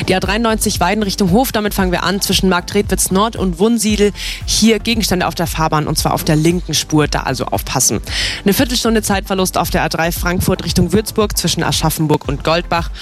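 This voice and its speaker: A woman reading the radio traffic report in a brisk, friendly and informal way. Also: fairly low pitch.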